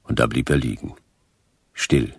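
An actor playing a laconic, hard-boiled private detective in a radio drama, narrating in terse, dry fragments. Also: male voice, a bass voice, steady tone, unhurried, husky, dry recording, full character voice. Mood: terse, watchful.

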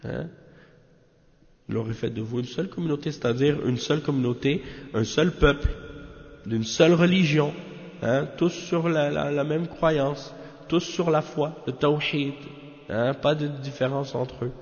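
Gravelly male religious teacher in reverberant sound. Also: fairly high pitch, measured pace. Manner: didactic, repeats phrases for emphasis, rhetorical check-ins. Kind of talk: extemporaneous religious lesson explaining a commentary. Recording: muffled, long hall-like reverb.

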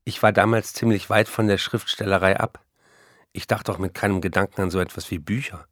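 A man's voice: low-pitched, fairly even intonation, brisk, fairly bright tone, no room sound, studio quality. An actor playing a writer in a radio play, reflectively recalling his life as if telling a story. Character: male writer reflectively recalling his farm-working years. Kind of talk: scripted radio play, first-person recollection.

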